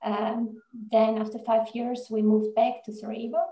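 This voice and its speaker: A woman's voice: on the high side, little pitch movement, moderate pace, highs cut off. A woman, telling her story conversationally as an interview guest on a video call. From Bosnia, with years in Mexico and Austria. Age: 40s. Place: indoor room on a video call. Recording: echoey room on video call.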